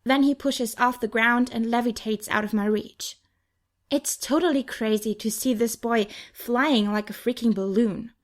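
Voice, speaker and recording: A woman's voice: fairly high pitch, steady tone, a little slow. A woman reading first-person young adult fiction as an audiobook narrator.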